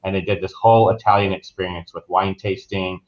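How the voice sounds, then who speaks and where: A man's voice: low voice, little pitch movement, brisk, highs cut off. A man speaking as a guest travel professional in a casual interview, explaining things informally.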